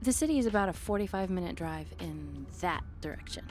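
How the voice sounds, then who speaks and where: A woman's voice: lowish voice, lively intonation, brisk, a little mellow, long reverb tail, faint music behind, close to an everyday voice. A woman playing a flirty, playfully sarcastic date companion in a one-sided ASMR roleplay, with dry, hesitant asides. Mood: curious, puzzled.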